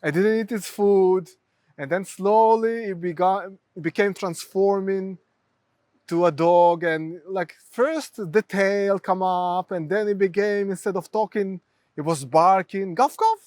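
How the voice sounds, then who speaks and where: Man, Ukrainian accent, high voice, dry close sound, hesitant storytelling with lots of "uh". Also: a little mellow, no echo. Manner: halting, many fillers, non-native phrasing. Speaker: guest, therapist. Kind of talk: storytelling in conversation.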